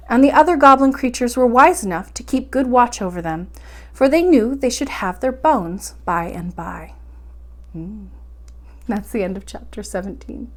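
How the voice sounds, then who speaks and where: A woman reading a children's story aloud expressively, as the host of a bedtime storytime. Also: fairly high pitch.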